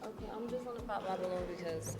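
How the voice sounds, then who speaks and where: A woman's voice: medium pitch, fast talker, in a large hall, heavy background noise. A woman on a dating game show who asks questions in a hesitant, hedging way. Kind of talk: casual dating-show conversation.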